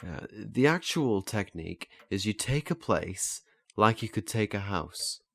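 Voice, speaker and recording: A man's voice: fairly low pitch, expressive, measured pace, gravelly, no room sound, exaggerated voice. A man playing an earnest, flustered learner in a naturalistic audio drama, hesitant and stammering as he restarts his phrases. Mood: earnest, explaining. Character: earnest, flustered male learner eager to master memory techniques.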